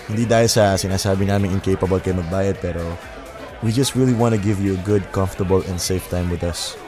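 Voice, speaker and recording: An actor playing a confident, smooth-talking young bachelor in a Taglish audio drama, playful and persuasive. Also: male voice, low voice, brisk, lightly acted. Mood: friendly, courting. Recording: loud music and crowd bed behind. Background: music, crowd chatter.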